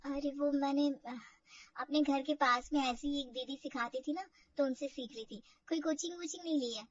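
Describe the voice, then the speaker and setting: A woman's voice: high voice, moderate pace, no room sound, far from the mic, dull audio, close to an everyday voice. A woman voicing a polite, anxious young new bride in a family drama story, hesitant and deferential. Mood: hesitant, worried.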